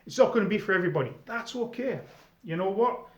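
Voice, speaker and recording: A middle-aged man giving informal advice to viewers in a conversational, emphatic way, often pausing mid-sentence. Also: high voice, lively intonation, noisy background. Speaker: experienced role player giving advice to newcomers. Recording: echoey room, slightly dull sound.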